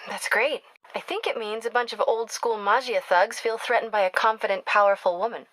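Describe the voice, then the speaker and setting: Young female reporter played by a woman for a video game: bright, forward voice, close dry sound, earnest and wry, natural phone-call delivery. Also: a little high, a little fast, no echo, natural voice. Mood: brisk, affectionate. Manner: conversational, earnest, confiding.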